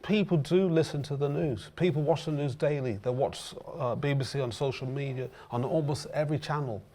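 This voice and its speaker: A man's voice: mid-range voice, fairly quick, mellow, slight background noise. Impassioned man with a Birmingham accent. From England. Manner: impassioned, with frequent restarts and hesitations. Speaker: guest commentator. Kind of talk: earnest talk-show discussion.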